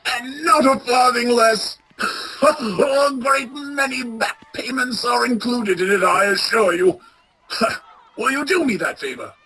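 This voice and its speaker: A raspy old gentleman miser turned giddy and generous, acted by a woman for a radio play. The voice is high and forcefully bright, with drawn-out theatrical emphasis. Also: heavily acted, low-quality recording. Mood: gleeful, grateful.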